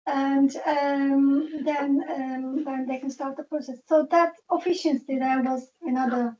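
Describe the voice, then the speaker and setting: A woman from Turkey, telling a board meeting about her time as a patient in a halting, restarting way. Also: high voice, fairly even intonation, warm tone, a little hiss or hum. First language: Turkish. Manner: halting, restarts and non-native phrasing. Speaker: patient sharing her story. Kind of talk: personal testimony at a meeting. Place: meeting room. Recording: dull lo-fi meeting audio.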